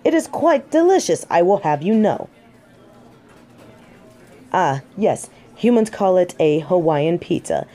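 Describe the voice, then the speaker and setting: A woman's voice: fairly low pitch, sing-song, measured pace, long pauses, mellow. A woman playing a snobby, centuries-old fallen angel in denial, speaking in formal, pompous phrasing in a scripted audio roleplay. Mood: haughty, smug. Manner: formal, precise, pompous diction. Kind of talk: scripted character roleplay monologue.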